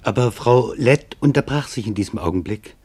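A man playing a German reporter, narrating a radio documentary drama in a measured, grave and deliberate way. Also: medium pitch, lively intonation, darkish tone, husky. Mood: sober. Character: male German reporter recounting his search for witnesses, sober.

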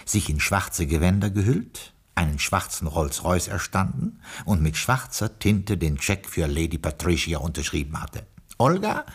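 A stiff, courteous English butler in a radio play. His voice is low and husky, with wide, theatrical swings of pitch. He phrases everything ornately and deferentially, with pompous flourish. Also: heavily acted. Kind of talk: German radio play acting and narration.

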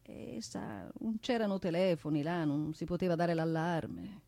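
A woman giving a solemn, theatrical recitation of poetry and prose in a deliberate, dramatic manner. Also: lowish voice, creaky, mellow, slight background noise. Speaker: stage performer, actress and singer. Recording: piano music under the voice. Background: piano accompaniment.

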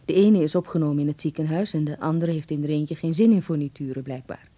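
A woman's voice: low voice, fast, dark tone. An actress playing an affectionate, watchful mother reading out her letter in a radio drama. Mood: tender, chatty.

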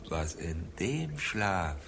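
A man's voice: low-pitched, vocal fry, noisy background, exaggerated voice. An actor in a German radio drama playing a brooding, theatrical man who recites Hamlet in a declamatory manner. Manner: theatrical, declamatory, drawn-out phrasing.

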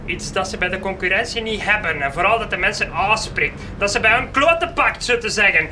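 An actor playing a foul-mouthed, bullying newspaper editor-in-chief in a comic radio drama, with a Flemish accent and theatrical sarcasm. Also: male voice, sits high, animated, brisk, background noise. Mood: sarcastic, scornful. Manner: theatrical, sarcastic, barking colourful insults.

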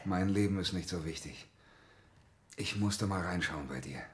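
An actor playing a young man gently comforting his dying mother in a radio play, with soft, soothing storytelling. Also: sits low, moderate pace, a little hiss or hum, lightly acted. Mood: tender. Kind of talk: German radio play acting.

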